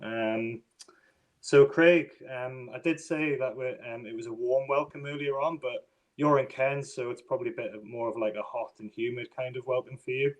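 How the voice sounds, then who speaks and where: A man from northeast England with a Northeast English accent, hosting a casual podcast chat over a video call and filling pauses with ums. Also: mid-range voice, poor audio. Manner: relaxed, many ums and restarts. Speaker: podcast host.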